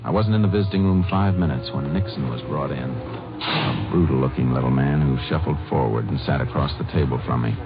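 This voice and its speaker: An actor playing a stern, dogged Texas Ranger in a 1950s radio drama, terse and matter-of-fact. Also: male voice, rumbling low, a little fast, over music. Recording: muffled, lo-fi vintage radio recording.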